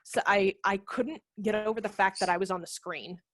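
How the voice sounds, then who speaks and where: A woman in her thirties giving informal practical instruction on a video call, explaining with quick asides. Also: medium pitch, fairly even intonation, fairly bright tone, no room sound. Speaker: theatre director and workshop presenter. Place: home room with brick wall, video call. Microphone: headset microphone.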